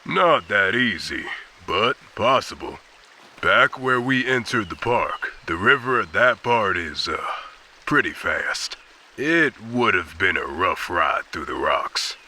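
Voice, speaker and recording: A man's voice: fairly low pitch, very animated, takes it slowly, sharp tone, exaggerated voice. An actor playing a laconic, matter-of-fact male park ranger.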